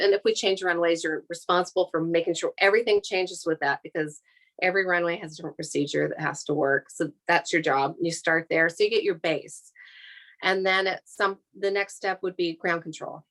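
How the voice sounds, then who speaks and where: Lower-pitched American woman explaining her job. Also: fairly bright tone. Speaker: air traffic controller giving a career talk. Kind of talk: informal explanatory talk to an audience.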